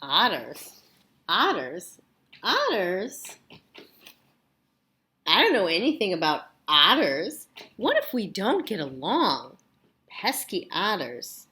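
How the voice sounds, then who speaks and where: A woman's voice: mid-range voice, huge pitch swings, slow, pauses often, bright, forceful tone, heavily acted. A woman voicing a worried, fussy male storybook rabbit who muses aloud in questions. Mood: worried, grumbling. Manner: questioning, musing storybook delivery.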